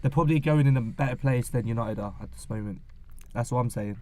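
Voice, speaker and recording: A man's voice: mid-range voice, quick pace, darkish tone, slight background noise. A man arguing his side in a casual football podcast chat, full of "like" and "then again". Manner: argumentative, lots of fillers. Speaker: podcast co-host.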